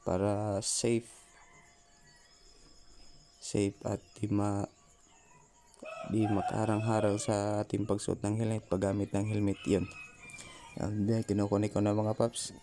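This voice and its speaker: A man's voice: fairly low pitch, completely flat delivery, takes it slowly, pauses often, dark tone, soft background music. A man with a Filipino accent narrating a casual how-to vlog, talking informally to viewers as his buddies. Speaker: vlogger.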